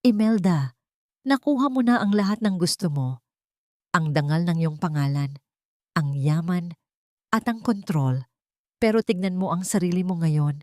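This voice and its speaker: A woman voicing a resolute, forgiving young mother with a solemn, measured delivery in an audiobook drama. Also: lowish voice, expressive, dark tone, dry recording, studio quality.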